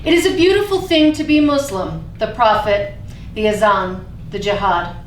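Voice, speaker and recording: A woman's voice: medium pitch, lively intonation, moderate pace. A woman hosting and reading aloud at a staged reading. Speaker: host introducing and reading a poem. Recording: echoey room, distant mic, faint noise.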